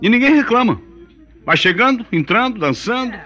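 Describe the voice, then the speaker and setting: An actor playing a jovial, folksy rubber-tapper bridegroom in a radio soap, with a Brazilian accent. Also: male voice, very high-pitched, very animated, normal speed, sharp tone, full character voice. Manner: exclamatory, folksy. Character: jovial, hearty rubber-tapper bridegroom, plain country man. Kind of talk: radio soap opera acting. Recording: dull radio drama recording, faint music.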